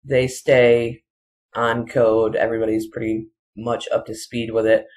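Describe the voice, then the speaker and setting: A person giving casual, rambling solo commentary in halting, broken phrases, often repeating points insistently. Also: mid-range voice, little pitch movement, a little mellow. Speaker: video commentator.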